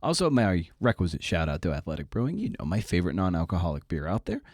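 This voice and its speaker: Low-voiced casual podcast host, a man, close and dry. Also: huge pitch swings, quick pace, a little mellow, no echo. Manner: informal, slangy, self-deprecating. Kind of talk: casual, rambling podcast intro monologue.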